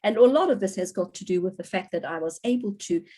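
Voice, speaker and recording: A woman's voice: mid-range voice, animated, fast, warm tone. Chatty woman lecturing online. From South Africa. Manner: chatty, many fillers, reads out audience answers. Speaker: university lecturer.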